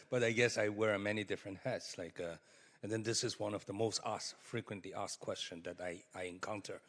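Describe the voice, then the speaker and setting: A man from China giving halting remarks as a conference panelist, with many fillers and rising emphasis. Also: fairly low pitch, steady tone, a bit bright. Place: conference hall. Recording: long hall reverb.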